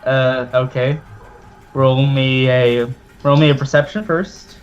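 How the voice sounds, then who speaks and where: A man running a tabletop game as game master, narrating casually and haltingly with offhand swearing. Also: medium pitch, level tone, smooth, dull audio. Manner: casual, profane, hesitant narrating.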